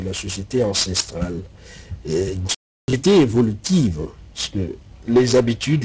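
A middle-aged man interviewing a guest on a TV studio set, asking pointed questions in a measured way. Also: mid-range voice, a little mellow, a little hiss or hum, rough recording.

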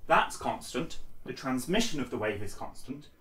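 A man in his twenties gives an informal lesson in a mid-range voice, explaining one step at a time. He sounds a little way from the microphone. The small room adds a clear echo around his words. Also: medium pitch, very animated, normal speed, sharp tone. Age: 20s. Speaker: instructor. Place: small bare indoor room. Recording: echoey room, distant microphone.

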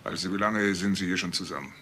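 An actor playing a blunt, no-nonsense police officer in a German crime radio drama. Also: male voice, low voice, steady tone, fast talker, bright, forceful tone, slightly rough, heavily acted.

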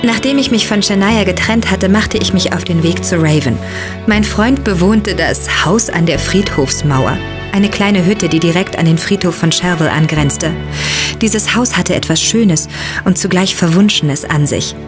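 An actress voices a young heroine of an audio drama, curious and stubborn. Her voice is on the low side, set over clear music. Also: moderate pace, lightly acted. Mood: reflective. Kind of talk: scripted first-person narration and audio drama acting.

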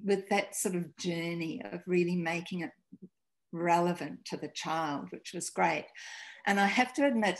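A woman in her sixties from New Zealand, a school principal telling stories in a video-call interview, halting and restarting. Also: fairly low pitch. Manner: warm storytelling, halting restarts. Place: home room, indoors. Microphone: video call webcam.

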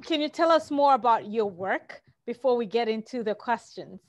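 A woman hosting and producing an interview show, introducing her guest formally over a video call, with some halting fillers. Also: a little high, lively intonation, no room sound. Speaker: host and producer. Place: home room.

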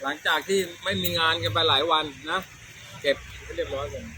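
A man's voice: on the high side, brisk, pushed and bright, slight background noise, rough recording. A middle-aged man, telling comic stories casually as a show host, chatty, repeating words and using crude slang. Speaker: host of a comedy storytelling show.

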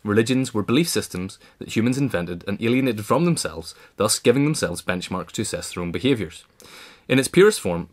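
Fast-reading man with a lowish voice. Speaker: audiobook reader. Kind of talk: scripted narration of a political history essay.

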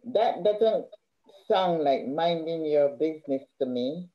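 A woman's voice: deep voice, lively intonation, takes it slowly. An older woman with a Caribbean accent reading a stern, matter-of-fact, bookish elder sister in a play, over a video call. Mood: stern, dry. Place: indoor room at home, on video call. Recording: dull video call audio.

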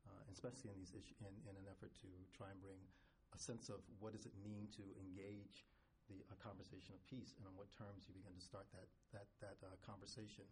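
A man on a conference panel, reflective and halting, with many restarts. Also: low-pitched, level tone, a little mellow, slight background noise. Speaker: foundation representative on panel. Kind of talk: panel discussion.

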